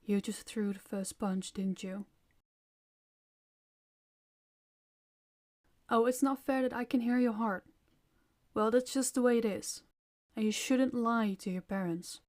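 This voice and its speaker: Woman playing a higher-voiced, gentle vampire mom. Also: normal speed, natural voice. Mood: gently scolding. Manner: soft-hearted, coaxing, parental. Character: gentle, concerned vampire mother, nurturing but firm. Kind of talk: audio roleplay acting addressed to a child.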